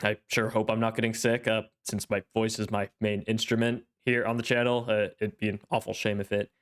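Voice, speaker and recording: Young male anime host, stuffy-nosed, smooth low-ish voice, rambling casual commentary. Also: little pitch movement, fairly quick. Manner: thoughtful, rambling, with frequent fillers. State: congested, clearing his throat. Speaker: anime reaction channel host.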